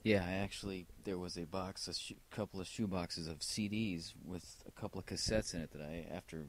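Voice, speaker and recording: Male vlogger, deep voice, rambling and reflective, wry delivery, slight background noise. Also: steady tone, brisk. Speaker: vlogger playing old answering machine tapes. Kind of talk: casual rambling personal narration.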